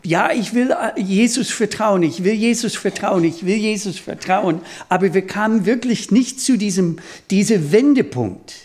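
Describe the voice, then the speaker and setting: A man preaching a sermon in a language that is not his mother tongue, deliberate and halting as he rephrases himself. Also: high-pitched, a little mellow. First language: not German; speaks German as a second language.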